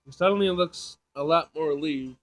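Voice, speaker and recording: Casual man with a higher-pitched voice. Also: normal speed. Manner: informal, slangy. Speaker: gaming video host. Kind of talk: casual visual novel let's play, reading aloud.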